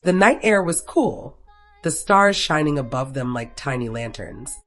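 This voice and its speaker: Narrator reading out a scripted love story, medium pitched voice. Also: expressive, a little slow.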